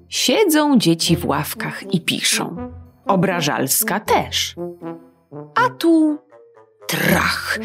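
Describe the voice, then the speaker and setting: A woman reading a children's audiobook in an expressive, sing-song storytelling manner. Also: mid-range voice, creaky.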